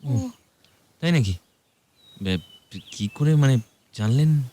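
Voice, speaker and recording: An eager, chatty man of medium pitch. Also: animated, slow pace, dark tone. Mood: awed. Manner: coaxing, chatty, emphatic. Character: eager, sociable man organising a weekend adventure for friends. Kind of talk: audio drama acting.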